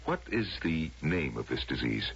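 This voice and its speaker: A man playing a courteous, genteel gentleman of a future utopia in a radio drama, with a gravelly voice and a polite, solicitous manner. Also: rumbling low, sing-song, moderate pace, heavily acted. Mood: worried. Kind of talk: 1970s radio drama acting. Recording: dull vintage radio drama recording.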